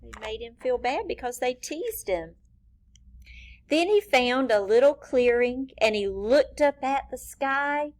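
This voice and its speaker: A woman reading a picture book expressively to young children, with deliberate teacherly phrasing and asides to the listeners. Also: high voice, animated. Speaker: children's read-aloud reader pointing out rhyming words.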